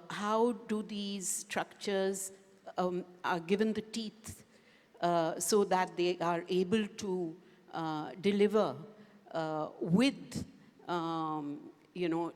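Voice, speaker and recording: Female panelist, lower voice, reflective and hesitant, hall reverb. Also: darkish tone. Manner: thoughtful, many pauses and fillers. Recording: echoey conference hall.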